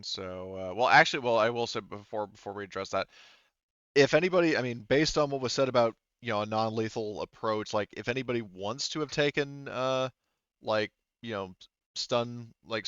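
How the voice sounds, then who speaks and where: A man with a smooth, low voice runs a tabletop game. He explains the options casually, qualifying as he goes. The recording is dry and slightly muffled. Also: fairly bright tone, no echo. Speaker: game master.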